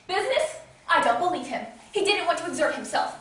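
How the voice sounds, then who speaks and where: An imperious, melodramatic society mother played by a woman, with a very high voice that swings widely as she commands and frets. She is snobbish, theatrical and quick to indignation, in stage comedy style. The sound is distant and very echoey. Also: expressive, a bit bright. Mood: furious. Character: imperious, frantic society hostess mother, snobbish and easily enraged. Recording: echoey room, distant noisy mic.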